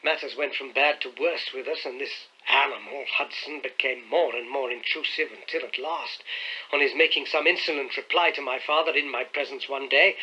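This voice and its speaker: Man acting a young gentleman, earnest, indignant, emphatic, sharp bright tone, husky edge, classic storybook narration. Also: fairly high pitch, dull audio, exaggerated voice. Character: distressed, indignant young gentleman recounting family troubles.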